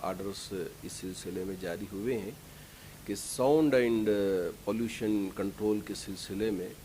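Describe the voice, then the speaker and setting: Man making a formal statement, noisy recording. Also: warm tone. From Karnataka, India. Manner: measured, formal, reassuring. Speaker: imam and religious leader. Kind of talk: public statement to the community. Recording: noisy recording with low rumble.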